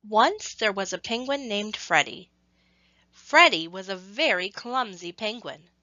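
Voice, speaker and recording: A woman reading a scripted story to children, with expressive, measured phrasing and emphasis on action words. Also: medium pitch, no echo, muffled recording.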